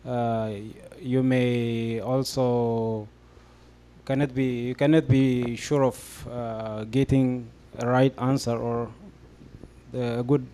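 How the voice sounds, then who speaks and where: A man's voice: medium pitch, level tone, slow pace, dark tone, smooth. A young man from Ethiopia speaking on a discussion panel, hesitant and full of fillers as he explains his answer. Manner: hesitant, many fillers and restarts. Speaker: panel guest.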